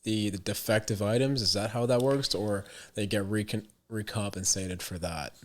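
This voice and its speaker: Low-voiced podcast host asking questions. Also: steady tone, moderate pace. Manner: frequent brief back-channel replies, asks simple questions. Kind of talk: interviewing a guest, short acknowledgments.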